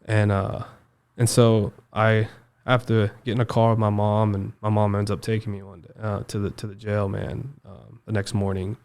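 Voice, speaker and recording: The guest across the table on a long-form podcast, a young American guy with a lowish voice, reliving his own past in emphatic, stop-and-start fashion. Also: level tone, gravelly, darkish tone. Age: 20s. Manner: halting, emphatic, repeats himself, says dude and man often. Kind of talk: personal storytelling in a casual interview.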